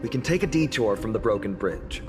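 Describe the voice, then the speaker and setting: A man's voice: on the low side, animated, brisk, natural voice. An actor playing a cheerful, playful young swordsman in a fantasy game, teasing and theatrical in delivery. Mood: bright, heroic. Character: cheerful, playful young heroic male swordsman eager for challenge. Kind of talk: scripted fantasy game voice acting. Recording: loud game music underneath.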